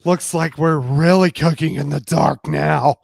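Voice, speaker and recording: A man's voice: a little high, fairly even intonation, a little slow, gravelly, a little mellow, no room sound, studio quality, pitched above the performer's own voice, full character voice. A man voicing a bold, flirtatious woman in a romance drama, with a purring, sultry, teasing delivery.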